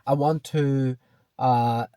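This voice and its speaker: A man in his late thirties from China speaks English with a Chinese accent. He talks to the camera in a searching, reflective way, full of ums, restarts and "you know". His medium-pitched voice is recorded close and dry, with no room sound. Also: steady tone, very slow, dark tone, smooth, no echo. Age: 30s. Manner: hesitant, many fillers and restarts, repeats "you know". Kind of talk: reflective personal talk to camera.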